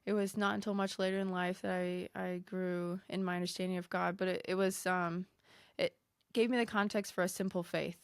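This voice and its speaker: A woman with a slightly mixed American accent telling her personal story as a podcast guest, pausing often mid-thought. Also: fairly low pitch, level tone, clean tone, dry recording, studio quality. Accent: American, slightly mixed with New Zealand. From United States, grew up in Michigan. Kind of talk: casual personal testimony in conversation.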